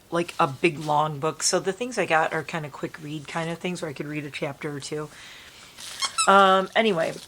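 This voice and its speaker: A woman chatting casually to camera from her bed, hoarse and stuffy from allergies, coughing now and then, rambling and apologetic. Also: sits low, poor audio. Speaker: vlogger. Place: bedroom at home, dim light. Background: crinkling dog toy, faint noise.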